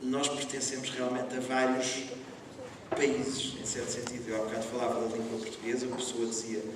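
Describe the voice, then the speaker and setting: A man's voice: medium pitch, completely flat delivery, brisk. A man from Lisbon on a panel, talking earnestly to students and repeating phrases for emphasis. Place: school hall. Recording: echoey hall from far off, noisy.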